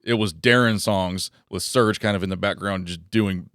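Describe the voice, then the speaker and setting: A man's voice: deep voice, fairly even intonation, normal speed, a bit bright, clear, resonant tone, no echo, studio quality. A man in his thirties, a guest comedian, chatting in a laid-back, rambling way and swearing freely. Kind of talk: casual chat.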